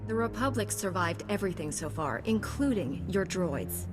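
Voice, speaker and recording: A woman's voice: mid-range voice, expressive, normal speed, natural voice. An actress playing a self-assured heroic woman knight in a video game, speaking firmly and directly. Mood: stern. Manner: firm, composed, direct. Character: resolute, self-assured female Jedi knight hero. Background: game music.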